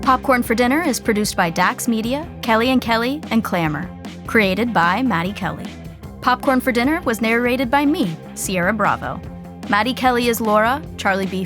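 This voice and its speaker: A woman reading scripted comedic narration for a sitcom. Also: on the high side. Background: music.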